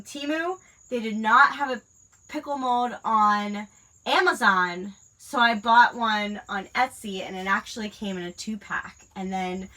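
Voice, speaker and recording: Crafting host, woman in her 30s, stuffy nose from a cold, crisp bright tone, chatty and rambling. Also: medium pitch, low-quality recording. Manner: rambling, many fillers. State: congested from a cold. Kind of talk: casual chat while crafting.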